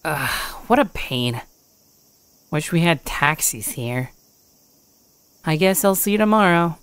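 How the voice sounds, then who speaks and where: A woman's voice: deep voice, lively intonation, takes it slowly, clear, resonant tone, dry recording. A woman voicing a bored, whiny fourteen-year-old boy in a scripted audio drama.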